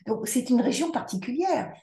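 A woman's voice: high-pitched, expressive, normal speed, vocal fry, warm tone, echoey room. A woman speaking as a podcast guest in reflective conversation, circling back and restarting her sentences as she thinks aloud. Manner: reflective, many restarts and repetitions. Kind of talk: conversational interview.

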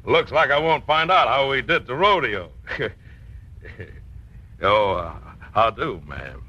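An actor playing a naive, folksy Oklahoma oilman and mama's boy, halting and bumbling, in a 1950s radio comedy. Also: mid-range voice, expressive, pushed and bright, heavily acted. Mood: shy, friendly. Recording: noisy, dull 1950s radio recording.

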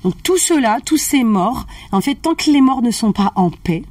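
A woman's voice: on the high side, animated, normal speed, a little mellow, no room sound, far from the mic. A woman practitioner explaining family constellations in casual conversation. She checks in often with her listener. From family of Algerian roots.

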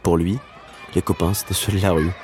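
A man in his thirties with a very low voice , played by an actor, a dreamy writer looking back on family history. He tells it intimately and reflectively, as in a scripted podcast drama. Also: level tone, brisk, a little mellow, lightly acted. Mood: nostalgic. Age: 30s. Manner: reflective, storytelling. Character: Jacob, dreamy thirty-something screenwriter son telling his father's story.

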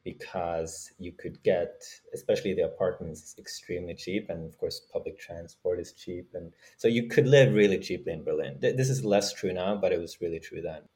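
A man from Norway speaking casually as an interview guest, halting as he thinks aloud. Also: deep voice, darkish tone. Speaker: guest, startup founder.

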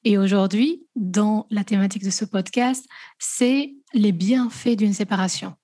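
A woman's voice: mid-range voice, a little slow, no room sound. A woman hosting an interview podcast, welcoming her guest and often hesitating with fillers as she talks. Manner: warm welcoming, many hesitations. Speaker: podcast host.

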